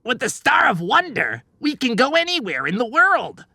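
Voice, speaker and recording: High, bright, wisecracking elf. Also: male voice, huge pitch swings, normal speed, vocal fry, no echo, studio quality, exaggerated voice. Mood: businesslike. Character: cocky, wisecracking, skeptical small male elf.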